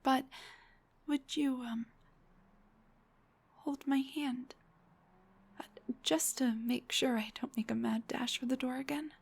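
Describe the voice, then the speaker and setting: Breathy, high-voiced headless monster girl, acted by a woman. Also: fairly even intonation, slow, pauses often, heavily acted. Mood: flustered, pleading. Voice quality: breathy, often dropping to a whisper. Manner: hesitant, stammering, joking. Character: embarrassed, self-deprecating headless dullahan monster woman on a date. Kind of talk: intimate audio roleplay acting.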